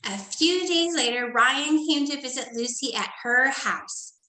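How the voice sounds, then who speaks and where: A woman teacher reading a storybook aloud to first graders in a sing-song, animated way, often dropping to soft whispered asides. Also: high-pitched, unhurried. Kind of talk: storybook read-aloud lesson for young children. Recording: echoey room.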